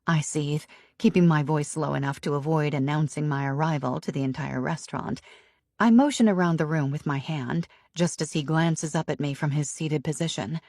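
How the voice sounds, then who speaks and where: A woman narrating a romance audiobook in first person with an expressive, emotionally charged reading. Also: low voice, moderate pace, no room sound, clean studio sound.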